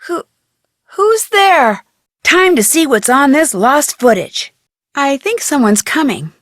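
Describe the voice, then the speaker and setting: A young American woman playing an inquisitive, plucky amateur detective in an adventure game, speaking earnestly and expressively with clear enunciation. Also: sits high, sing-song, slow pace, a bit bright, dry recording, clean studio sound, exaggerated voice. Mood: excited, startled. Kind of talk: scripted adventure game voice acting.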